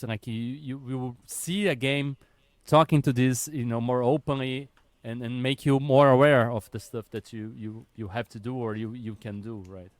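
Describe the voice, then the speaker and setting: A man hosting a casual podcast conversation, animated and chatty, with lots of "you know" fillers and occasional swearing. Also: mid-range voice, clear, resonant tone, no echo. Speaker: podcast host.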